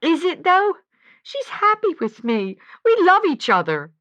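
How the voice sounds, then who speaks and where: A woman's voice: very high-pitched, wildly swooping pitch, unhurried, a bit bright, no room sound, full character voice. A woman playing an earnest, lovelorn and anxious caller in a radio drama, speaking hesitantly and with open feeling. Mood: distressed, pleading. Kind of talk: scripted audio drama.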